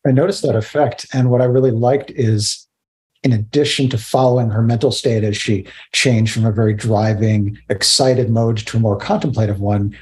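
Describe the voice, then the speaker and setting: Measured middle-aged man, lowish voice. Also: darkish tone. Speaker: interviewer. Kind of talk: interview questions and commentary. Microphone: video call.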